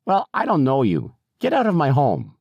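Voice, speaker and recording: A man voicing an indignant, confused old woman in an audiobook, loud and stubborn as she protests. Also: a little high, huge pitch swings, moderate pace, creaky, no echo, highs cut off, voice pushed up, exaggerated voice.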